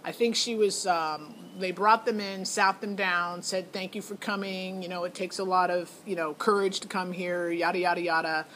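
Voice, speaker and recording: A woman's voice: lowish voice, steady tone, normal speed, few pauses, fairly bright tone. A middle-aged woman telling a personal story in a casual, candid, opinionated way. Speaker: parent recounting a child's audition. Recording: noticeable background noise.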